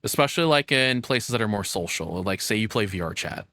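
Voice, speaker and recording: A man's voice: on the low side, animated, a little fast, sharp tone. A young adult man doing casual reaction commentary as a content creator, rambling, sarcastic and freely swearing.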